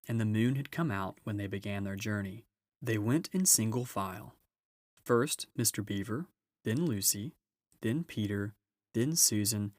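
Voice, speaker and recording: Low-voiced man reading a storybook. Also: no room sound, clean studio sound. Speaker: storybook reader. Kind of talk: expressive scripted narration for children.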